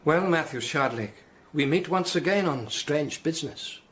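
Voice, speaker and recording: Worried archbishop, slightly high voice, lots of movement in it, polite, radio drama. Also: male voice, expressive, moderate pace, a bit bright, a little hiss or hum. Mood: cordial. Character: strained, grave, courteous male Tudor archbishop.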